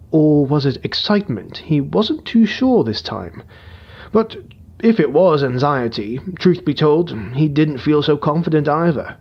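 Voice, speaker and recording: A man narrates a fantasy audiobook with steady, dramatic delivery. His voice is husky and a little high, and the recording sounds dull and lo-fi. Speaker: narrator and writer.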